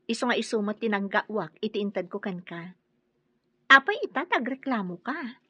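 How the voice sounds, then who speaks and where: An actress playing a dutiful but quietly defiant young wife in a radio drama. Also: female voice, medium pitch, lively intonation, moderate pace, husky, no room sound. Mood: indignant. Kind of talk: Ilocano radio drama acting. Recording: dull, lo-fi close dry studio audio.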